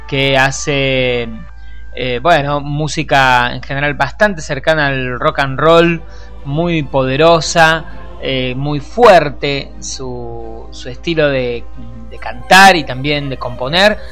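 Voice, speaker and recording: Bright, higher-pitched Argentine radio host. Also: male voice, slow. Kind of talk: music and news presentation. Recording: faint music behind the voice.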